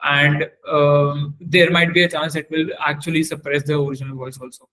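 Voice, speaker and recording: Guy doing a live software walkthrough for a webinar, voice a bit high, keeps stopping and rewording stuff. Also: flat delivery, low-quality recording. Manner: halting, repeats and corrects himself. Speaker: webinar trainer. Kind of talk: live software demonstration lecture.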